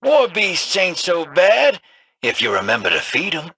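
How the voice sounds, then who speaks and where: An actor voicing a brash, cocky male pirate captain in a video game, with a husky, gruff drawl. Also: fairly high pitch, huge pitch swings, a little slow, bright, forceful tone, a little hiss or hum, heavily acted.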